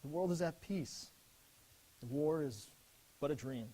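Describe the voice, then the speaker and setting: An actor playing an earnest young American lieutenant in a dramatic, expressive reading of his letters home from war. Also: on the high side, measured pace, rough recording. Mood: dreamy, peaceful.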